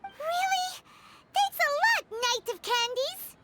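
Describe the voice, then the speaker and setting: A woman voicing a tiny childlike fairy companion in a video game, squeaky and excitable, exclaiming and talking about herself in third person. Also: slow, heavy background noise, heavily acted. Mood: eager.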